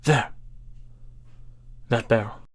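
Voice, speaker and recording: A woman playing a watchful, practical companion in a fantasy audio drama, plain and earnest, with a creaky, husky voice. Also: extremely slow. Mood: focused, earnest. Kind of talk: fantasy audio roleplay acting.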